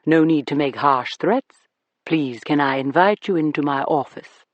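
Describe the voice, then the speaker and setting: A narrator voicing a cheerful, smooth-talking man with a deep British accent, genteel and evasive in manner. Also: normal speed, no echo, lo-fi sound, close to an everyday voice.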